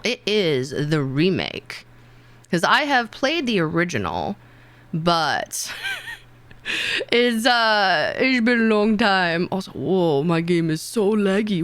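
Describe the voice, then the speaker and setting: Lively rambling young woman streamer. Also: medium pitch, slow, no echo. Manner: rambling, self-teasing, lots of fillers and laughs. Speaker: VTuber streamer. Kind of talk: casual stream chat.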